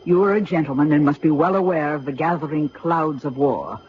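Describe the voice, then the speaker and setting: Radio melodrama: woman playing elderly aristocratic mother, imperious, formal, theatrical, muffled sound with clear noise. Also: sits low, fairly quick, close to an everyday voice. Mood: urgent, secretive. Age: older. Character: urgent, imperious elderly noblewoman, French-speaking mother. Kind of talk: melodramatic radio drama acting. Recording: muffled, noisy vintage radio recording.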